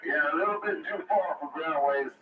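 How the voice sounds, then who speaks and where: A man's voice: on the high side, brisk, recorded from a distance. American man on a muffled CB radio. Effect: CB radio transmission. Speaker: CB radio operator calling in. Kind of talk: casual CB radio chat. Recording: muffled, roomy radio link, loud music.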